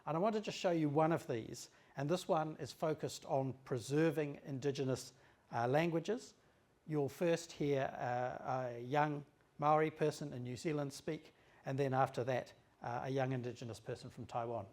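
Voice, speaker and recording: Male lecturer, trade office director; measured, halting delivery, middle pitch. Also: darkish tone. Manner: measured, with frequent um and uh pauses. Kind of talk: formal lecture to an audience.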